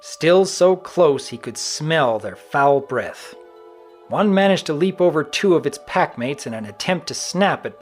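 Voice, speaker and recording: A man's voice: a little high, darkish tone. A man narrating a story from a script in a dramatic reading style.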